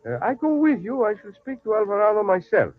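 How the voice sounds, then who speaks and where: Hearty general, high swooping voice, radio drama on a tinny vintage recording. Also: male voice, big pitch swings, fairly quick. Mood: hearty, eager. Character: hearty, obliging Mexican general. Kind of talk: old-time radio drama acting. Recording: tinny old radio recording, faint music.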